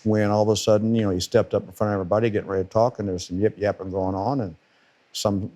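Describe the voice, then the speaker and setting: A man's voice: on the low side, fairly even intonation, fast talker, dark tone. A middle-aged man with a powerful voice, a football defensive coordinator talking casually on a podcast and stressing points by repeating words.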